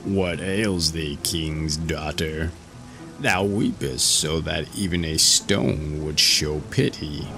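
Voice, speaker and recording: A man voicing a pleading talking frog in a fairy tale, with archaic, measured, bargaining speech. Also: rumbling low, sharp tone, soft background music, heavily acted. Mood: consoling.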